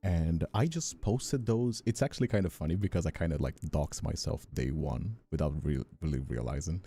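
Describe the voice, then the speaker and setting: Very low, creaky young man, rambling casually. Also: normal speed, mellow. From Brazil. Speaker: ASMR voice actor and streamer. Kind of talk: casual chat.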